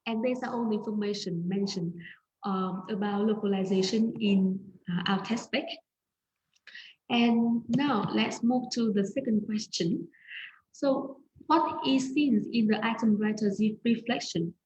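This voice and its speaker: A woman's voice: mid-range voice, a little slow, darkish tone, lo-fi sound. Vietnamese woman presenting research, echoey room. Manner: hesitant, frequent fillers and restarts. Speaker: conference presenter. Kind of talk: academic presentation over slides. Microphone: video call. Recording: echoey room, faint ambient noise.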